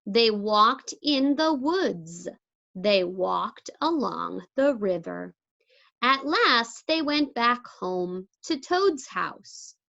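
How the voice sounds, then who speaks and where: Slow, animated woman reading to children. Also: a little high, creaky, muffled recording. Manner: deliberate articulation, asks listeners questions. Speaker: teacher reading aloud to young children. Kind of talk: expressive storybook read-aloud.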